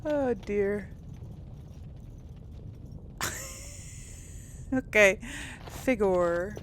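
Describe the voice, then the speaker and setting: A woman's voice: mid-range voice, drawn-out words, a little hiss or hum. A woman hosting a casual role-play let's play, reacting and thinking aloud in a hesitant way. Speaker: gaming channel host. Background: game music and sounds.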